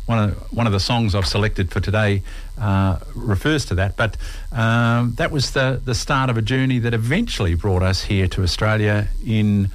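A man's voice: lowish voice, steady tone, normal speed. A man in his sixties from New Zealand with a Kiwi accent, a guest on a radio interview, chatting in a friendly way and often calling the host by name.

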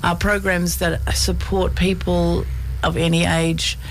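Gravelly Australian woman, enthusiastic. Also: on the low side, flat delivery, slow, a little hiss or hum, rough recording. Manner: enthusiastic, warm talker. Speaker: education coordinator guest. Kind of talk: casual interview chat.

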